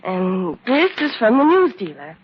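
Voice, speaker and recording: A woman's voice: a little high, very animated, a little slow. An actress playing a helpful, curious young female assistant to a detective in an old-time radio drama. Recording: muffled, noisy old-time radio recording.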